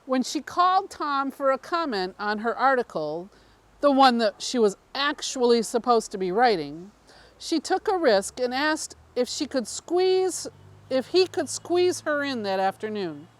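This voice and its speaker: A woman narrates a piece of fiction in a high, lively voice. Her pitch moves around freely, and she takes the reading at an unhurried pace. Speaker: story reader.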